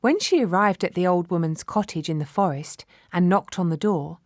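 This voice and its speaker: A woman narrating a children's fairy tale from a script, measured and expressive. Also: fairly low pitch, a little hiss or hum. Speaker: storyteller.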